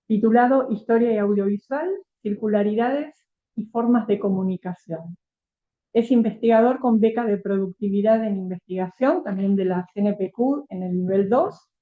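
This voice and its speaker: A woman with a Rioplatense Spanish accent introducing a guest speaker, reading a bio with fillers and tag questions. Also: mid-range voice. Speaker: event host. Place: lecture hall. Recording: tinny phone-like recording.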